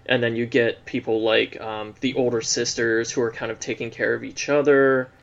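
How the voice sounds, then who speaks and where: A man talking casually about movies, pausing often with ums and likes. The recording sounds slightly dull and muffled. His voice sits at a middle pitch. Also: little pitch movement, gravelly. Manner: hesitant, many fillers and likes. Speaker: podcast co-host. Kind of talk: casual film podcast chat.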